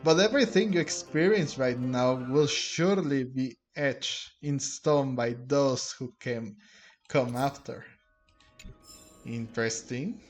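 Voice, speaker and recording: A man doing casual gameplay commentary, thinking aloud in a halting way and sometimes reading game text. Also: medium pitch. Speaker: game streamer. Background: game music.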